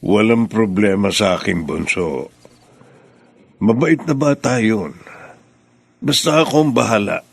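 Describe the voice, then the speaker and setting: Gravelly, low-voiced, patient father. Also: animated, measured pace, pauses often, dry recording, heavily acted. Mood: gentle, reassuring. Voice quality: gravelly, husky. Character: patient, reassuring middle-aged widowed father. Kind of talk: Filipino radio drama acting.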